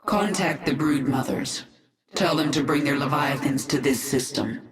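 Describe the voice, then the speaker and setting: A woman's voice: low-pitched, normal speed. An actress voicing a determined, commanding female swarm queen who speaks firmly. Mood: resolute. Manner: firm and resolute, at times dropping to a whisper. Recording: echoey.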